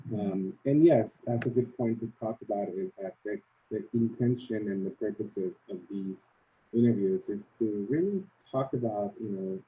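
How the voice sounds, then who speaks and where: A man with a lowish voice hosts an interview in a friendly, upbeat way, often pausing on "um" and "you know". His voice comes through a thin, tinny line, like a phone call. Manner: friendly, upbeat, many fillers. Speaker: host, interviewer. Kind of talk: interview over video call.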